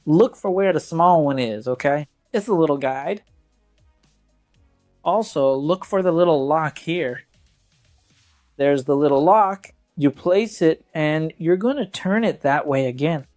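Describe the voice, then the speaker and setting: A man's voice: a little high, animated, a little slow, a little mellow, faint music behind. A man presenting a casual hands-on product review, talking conversationally and directly to viewers.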